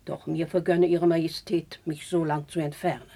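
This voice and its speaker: A woman's voice: low-pitched, level tone, normal speed, warm tone. A woman playing a stiff, rule-bound duchess in 1950s radio drama, speaking formally and pedantically. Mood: prim, disapproving. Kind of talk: 1950s German classical radio drama acting.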